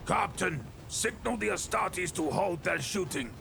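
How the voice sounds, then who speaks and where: A man's voice: high-pitched, lively intonation, unhurried, creaky, background noise, pitched above the performer's own voice, exaggerated voice. A man voicing a stern military commissar in an audio drama, barking urgent orders. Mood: firm, urgent. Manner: shouted, commanding, urgent.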